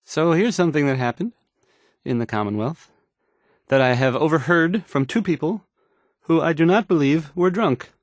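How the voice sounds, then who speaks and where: An actor playing an awkward, nervous young radio DJ in a video game, stammering and rambling through news reports. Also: male voice, on the high side, expressive, moderate pace, no echo, clean studio sound, lightly acted. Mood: nervous, unsure. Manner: hesitant, stammering, rambling, self-doubting. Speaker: radio newsreader. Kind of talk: bumbling news announcing.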